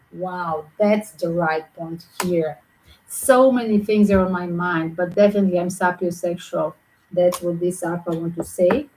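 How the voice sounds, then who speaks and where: A woman from Southern Europe with a mixed Greek and Yugoslav accent, giving a tarot reading in quick, chatty, non-native English. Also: medium pitch, dark tone, rough recording. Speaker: tarot reader.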